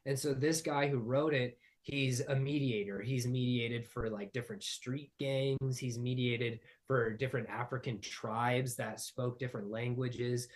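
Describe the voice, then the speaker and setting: A man speaking at an everyday middle pitch, spinning out long abstract thoughts in a casual spiritual conversation. His sentences wander and restart as he builds each idea. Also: fairly even intonation, a little slow, few pauses. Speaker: podcast guest.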